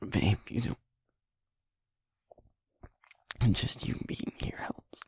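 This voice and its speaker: A young man playing a sweet, wounded, guilt-ridden werewolf boyfriend in an intimate audio roleplay, speaking in a husky, halting, emotional near-whisper. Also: no echo, close to an everyday voice. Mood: pained, grateful. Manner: halting, emotional, softly pleading.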